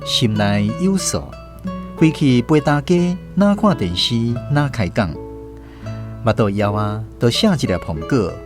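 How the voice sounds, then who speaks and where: A man with a Taiwanese accent narrating a radio drama as scripted, expressive storytelling. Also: mid-range voice, measured pace. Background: acoustic guitar music.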